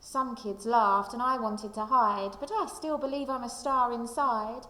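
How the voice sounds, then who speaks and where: A woman reading a children's picture book aloud like a primary school teacher, with expressive, deliberate storytelling delivery. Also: fairly high pitch. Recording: echoey room with long reverb.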